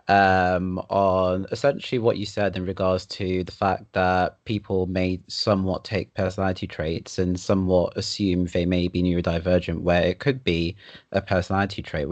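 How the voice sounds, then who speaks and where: A man hosting a conference, responding to a speaker with formal, measured phrasing. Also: low-pitched, dry recording. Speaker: conference host. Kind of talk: responding to a talk.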